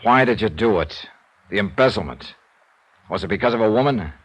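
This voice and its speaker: A man's voice: fairly low pitch, lively intonation, brisk, breathy edge, low-quality recording. A man starring in and narrating a 1950s radio crime drama, wry, tough and world-weary. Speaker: lead actor and narrator. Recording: vintage radio transcription.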